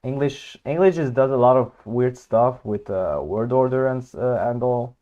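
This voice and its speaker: A man's voice: mid-range voice, normal speed, warm tone, dull audio. A young man whose first language is Hungarian, chatting casually on a livestream and thinking aloud, hesitant with many fillers and restarts. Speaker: streamer.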